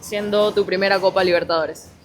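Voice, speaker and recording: A woman's voice: mid-range voice, fairly even intonation, a little fast, a little hiss or hum, rough recording. A woman from Venezuela with a Venezuelan accent, a reporter whose first language is Spanish, asking a question hesitantly and mixing in a second language.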